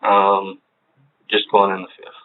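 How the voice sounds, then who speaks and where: Deep-voiced halting man on tinny tape. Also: monotone. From Hawaii. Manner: hesitant, halting, many fillers. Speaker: interrogation subject. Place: police interview room. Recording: tinny low-fidelity room recording.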